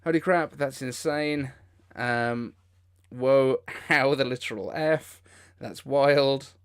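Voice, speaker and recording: Guy doing live reaction stream, casual chatty commentary, kinda incredulous, normal mid pitch. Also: slow, smooth. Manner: informal, incredulous exclamations. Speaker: livestream host.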